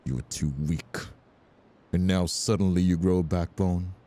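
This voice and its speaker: An actor playing a menacing, taunting crime boss villain with a gravelly, husky voice, in a dramatic audio role-play. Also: male voice, low voice, steady tone, normal speed, warm tone, lightly acted. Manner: taunting, theatrical. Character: menacing, sneering crime boss villain, cowardly when cornered.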